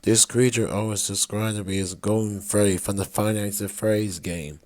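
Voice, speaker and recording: Lowish robotic tts guy doing an emergency alert announcement, formal n stilted, super dry sound. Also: steady tone, moderate pace, darkish tone, no echo. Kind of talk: scripted emergency broadcast announcement.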